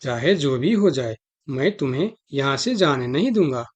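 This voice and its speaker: A man plays an elephant who guards the jungle in a children's cartoon. He is dutiful and wary, with a stern, questioning delivery at a medium pitch. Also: expressive, normal speed, natural voice. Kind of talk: Hindi children's cartoon dialogue.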